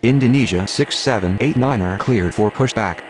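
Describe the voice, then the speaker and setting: A man playing a calm pilot and air traffic controller in a game, giving clipped, formulaic, matter-of-fact radio calls. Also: lowish voice, normal speed, close to an everyday voice. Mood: calm, procedural. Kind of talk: scripted aviation radio phraseology. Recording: steady engine and ambience noise.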